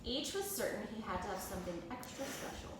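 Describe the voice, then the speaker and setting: A woman's voice: medium pitch, animated, fast. A woman, a teacher, reads a children's storybook aloud in a narrating style. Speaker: second grade teacher reading aloud. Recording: echoey room, distant mic, clear background noise.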